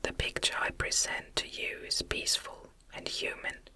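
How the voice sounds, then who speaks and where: A woman voicing a lonely, eloquent male monster in a gothic storybook reading, imploring earnestly and formally in a breathy whisper. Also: sharp tone.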